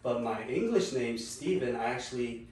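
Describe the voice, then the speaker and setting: A man's voice: mid-range voice, moderate pace. A man in his late twenties, born in South Korea and raised in Los Angeles, telling his story casually as an interview guest, with easy laughs and lots of fillers. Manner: relaxed, laughs easily, many fillers. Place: office room. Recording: echoey room, distant mic, faint noise.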